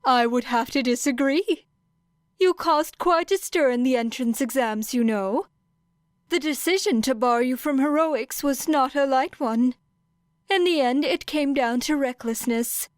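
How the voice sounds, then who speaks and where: Small furry headmaster as done by a woman narrator: high, chirpy, courteous, smoothly articulated storybook character. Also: dry recording, studio quality. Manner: bright, pleasantly formal. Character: small cheerful, clever animal school principal, male. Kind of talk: audiobook character voice.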